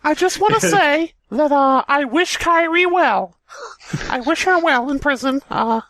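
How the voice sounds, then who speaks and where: A man's voice: very high voice, expressive, a little slow, bright, forceful tone, no echo, low-quality recording. A man co-hosting a podcast in casual, playful banter, given to mock voices. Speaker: podcast co-host.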